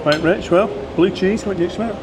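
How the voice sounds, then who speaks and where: A man's voice: sits high, fairly quick. A man hosting a restaurant review vlog, chatting casually and teasing his friends at the table. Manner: teasing, joking banter. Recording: loud street noise behind.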